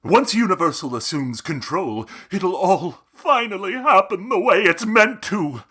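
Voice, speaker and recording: An actor playing a pompous, vain older brother, a grandiose and scheming thespian in a comedic audio drama. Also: male voice, high voice, lively intonation, sharp tone, breathy edge, heavily acted. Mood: smug, grandiose. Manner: grandiose, theatrical, drawn-out delivery.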